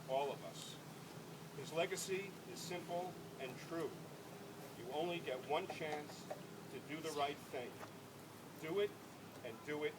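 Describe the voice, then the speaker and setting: A man reading prepared memorial remarks aloud outdoors at a ceremony, in deliberate, measured phrases with pauses. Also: on the high side. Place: outdoors at a ball field. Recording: loud outdoor ambient and vehicle noise.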